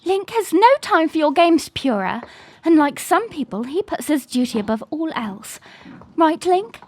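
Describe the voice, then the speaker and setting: A woman's voice: sits high, very animated, unhurried, a little hiss or hum. A woman playing an earnest, dutiful young princess, serious and prim, in an audio drama. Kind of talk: fan audio drama acting.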